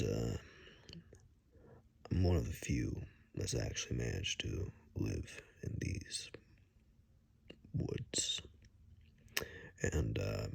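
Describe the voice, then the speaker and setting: A man playing a gruff, wry forest ranger and monster hunter in an ASMR roleplay, speaking in a hushed, halting way with dry humor. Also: full character voice. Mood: wry, relaxed. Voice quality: very deep, gravelly, husky. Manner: slow, halting, hushed, dryly joking. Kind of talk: ASMR roleplay, one-sided conversation.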